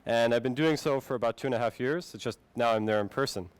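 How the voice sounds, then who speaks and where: A young man born in Finland presents a tech talk in a friendly, relaxed way. His voice is smooth and clear at a middle pitch, and the sound is dry and close to the mic. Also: fast talker, dry recording. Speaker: conference speaker.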